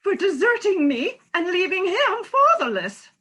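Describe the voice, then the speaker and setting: A woman's voice: very high voice, big pitch swings, normal speed, fairly bright tone, exaggerated voice. A woman playing an earnest, distressed unmarried mother, a nurse pleading her case in a comic stage farce reading. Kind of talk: comic farce readers theatre.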